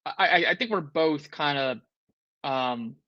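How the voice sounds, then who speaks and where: A man's voice: a little high, expressive, measured pace, dry recording, muffled recording. A man in his thirties co-hosting a sports talk show, rambling with restarts and frustrated. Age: 30s. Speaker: podcast co-host.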